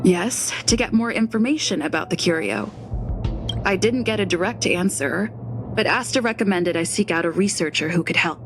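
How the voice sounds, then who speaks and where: An actress playing a composed, methodical young woman in a video game, testifying in a measured, precise, matter-of-fact way. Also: on the low side, music in the background, close to an everyday voice. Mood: calm, explanatory.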